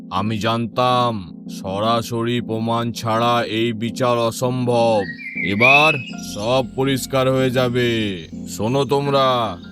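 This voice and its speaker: A man playing a stern, shrewd king in a cartoon folk tale, making deliberate, commanding pronouncements. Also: medium pitch, lightly acted. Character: stern, shrewd king judging a land dispute.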